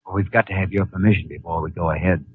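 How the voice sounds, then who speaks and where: An actor playing an earnest, steady young doctor in a 1950s radio drama. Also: male voice, low-pitched, quick pace, husky, dry recording. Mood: explaining. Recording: tinny lo-fi 1950 radio broadcast.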